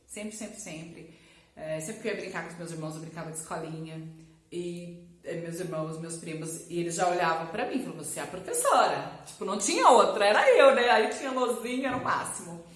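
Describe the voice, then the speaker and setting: The voice of a Brazilian woman vlogger sharing her own story with followers from a bare, echoing room, chatty and expressive. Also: on the low side, fairly quick. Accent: Brazilian Portuguese. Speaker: language teacher and vlogger. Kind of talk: casual personal storytelling to camera. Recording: echoey, roomy sound.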